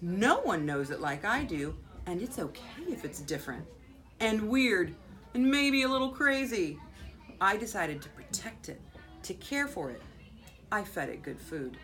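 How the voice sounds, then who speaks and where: An American woman, a school principal, reading a children's picture book aloud expressively, with dramatic pauses and drawn-out words. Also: mid-range voice, big pitch swings, fairly bright tone, soft background music. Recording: faint background noise.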